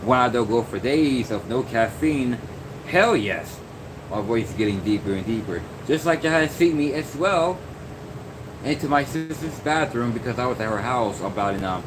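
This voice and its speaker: A young man talking in a loose, rambling vlog monologue, full of fillers and restarts. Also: medium pitch. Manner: meandering, many fillers and restarts. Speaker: vlogger. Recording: noisy background hum.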